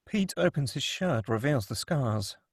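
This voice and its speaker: A man's voice: mid-range voice, animated, measured pace, no room sound, studio quality. A man reading stage directions as dramatic scripted narration at a table read.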